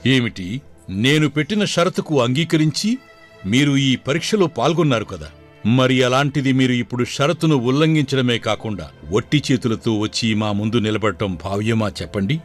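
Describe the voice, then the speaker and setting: A man's voice: mid-range voice, animated, normal speed, faint music behind, close to an everyday voice. Man playing a dignified, questioning king. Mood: stern. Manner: formal, declamatory. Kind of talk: dramatised Telugu folk tale.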